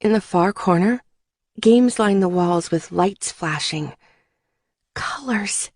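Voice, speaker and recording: A woman's voice: mid-range voice, takes it slowly, darkish tone, no echo, studio quality. A woman narrating first-person fiction as a scripted audiobook.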